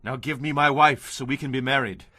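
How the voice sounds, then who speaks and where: Bright-voiced, earnest young biblical herdsman. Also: mid-range voice, fairly even intonation, brisk, dry recording. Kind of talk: dramatized audio Bible acting.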